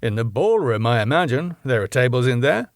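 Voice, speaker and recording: A man's voice: medium pitch, very animated, fairly quick, no room sound, studio quality. A man playing a pompous, fussy British club owner. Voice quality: pompous, dramatic outbursts.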